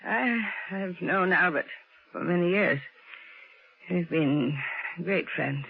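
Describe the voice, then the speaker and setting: An actress playing a genteel, bedridden, dying woman in a 1950s radio drama, speaking weakly and haltingly. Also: fairly low pitch, creaky. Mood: faltering, distressed. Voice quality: weak, faint, effortful. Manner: halting, pausing between short phrases. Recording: tinny, noisy 1950s radio recording.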